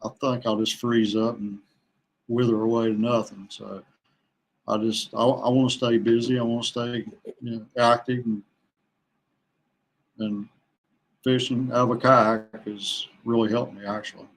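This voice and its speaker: An older man with an average-pitched voice talks as a guest on a fishing podcast. His delivery is casual and unscripted. He moves haltingly, doubling back on words as he answers. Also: flat delivery, poor audio. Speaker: podcast guest, kayak angler. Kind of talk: casual conversational interview answers.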